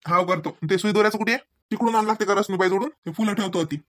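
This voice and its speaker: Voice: mid-range voice, fast talker, no echo, clean studio sound, natural voice. An actor voicing an eager, polite, easygoing college-age young man in a comedy cartoon, speaking colloquial Marathi with a Varhadi accent. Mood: helpful. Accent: Varhadi Marathi from Vidarbha. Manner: colloquial, obliging, reassuring.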